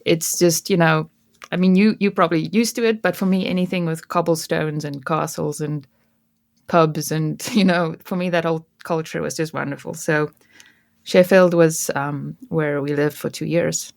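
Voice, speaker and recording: A woman's voice: deep voice, fairly even intonation, normal speed, vocal fry, dry recording, clean studio sound. A woman from South Africa, an Afrikaans native speaker with a South African accent, chatting as an interview guest and often restarting her sentences. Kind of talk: casual interview chat.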